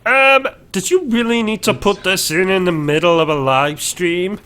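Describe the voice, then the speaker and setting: A man's voice: sits high, normal speed, pushed and bright, clear, resonant tone, pitched above the performer's own voice. A man voicing Bertram, a meek, hesitant sidekick who interrupts the host. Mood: timid. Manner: timid, hesitant.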